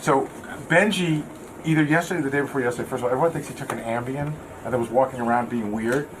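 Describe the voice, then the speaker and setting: Man explaining casually over clear noise. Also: mid-range voice, fast talker.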